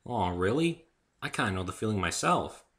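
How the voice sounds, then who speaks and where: A good-humoured, polite human man as the hero of a story, acted by a male reader. He sounds curious and earnest, with lively intonation and a bright tone. His manner is bantering. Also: on the low side, moderate pace. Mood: friendly, polite. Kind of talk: dramatic story reading.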